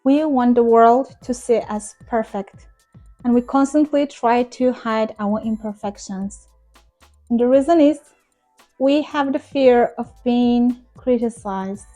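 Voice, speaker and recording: Young non-native woman ESL teacher, high but mellow voice, earnest and encouraging, soft music underneath. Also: takes it slowly. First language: not English. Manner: earnest, encouraging, direct address to viewers. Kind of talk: talking-head teaching vlog.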